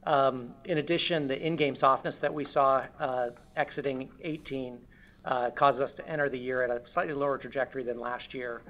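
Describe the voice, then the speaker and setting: A man's voice: medium pitch, steady tone, fairly quick. Careful male finance executive on lo-fi call. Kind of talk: earnings call answer, business commentary. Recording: dull lo-fi conference call audio.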